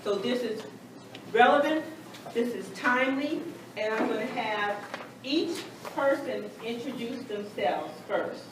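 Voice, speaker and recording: A woman's voice: fairly high pitch, lively intonation, a little slow, background noise. Woman moderator in an echoey hall. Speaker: panel moderator. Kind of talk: formal panel introduction. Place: large conference hall. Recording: echoey hall recorded from far off.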